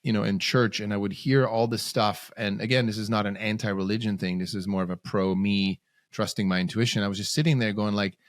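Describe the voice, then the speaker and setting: A man's voice: on the low side, steady tone, fairly quick, no room sound, studio quality. A man, a podcast guest telling his story in bursts, with sudden rises and restarted phrases. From Switzerland. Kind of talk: casual storytelling interview.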